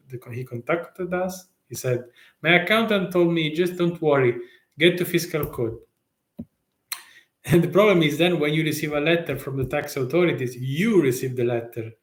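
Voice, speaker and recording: A man with an Italian accent, a tax consultant talking live to viewers in halting, restart-filled English with rhetorical questions. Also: a little high, echoey room. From Italy. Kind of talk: live stream talk.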